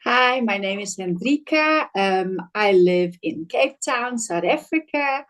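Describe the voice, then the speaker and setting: A woman's voice: medium pitch, expressive, slow pace, a bit bright. A woman missionary introducing herself earnestly in a casual faith-based group talk.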